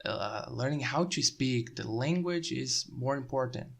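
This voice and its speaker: A man's voice: mid-range voice, measured pace, creaky, a bit bright. A man hosting a casual interview about learning English, asking questions with many hesitations. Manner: friendly, hesitant, many fillers between questions. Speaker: host.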